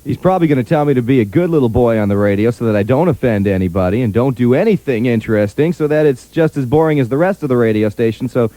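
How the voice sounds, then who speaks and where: A man's voice: mid-range voice, lively intonation, quick pace, few pauses, dry recording. A man hosting a morning radio show with jokey, playful DJ patter and station announcements. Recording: loud music bed on radio aircheck. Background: music.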